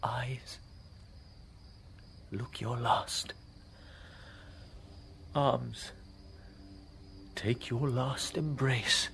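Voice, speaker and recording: An actor playing a grieving young lover bidding farewell to life, in slow, solemn classical radio drama style. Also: male voice, medium pitch, fairly even intonation, a bit bright, a little hiss or hum, full character voice. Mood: solemn grief. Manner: slow, solemn, breathy pauses between phrases.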